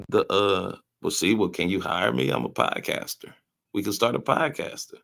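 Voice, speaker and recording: A man's voice: medium pitch, gravelly. A man hosting a casual livestream, chatting loosely and joking with viewers in the chat. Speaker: livestream host. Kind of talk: casual live-stream commentary, reading chat.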